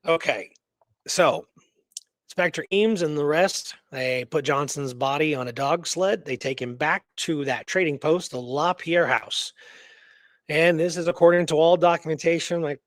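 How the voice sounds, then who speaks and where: Higher-voiced man, casual co-host, dry sound. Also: moderate pace, dry recording. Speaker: radio show co-host. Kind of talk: casual conversational storytelling and discussion.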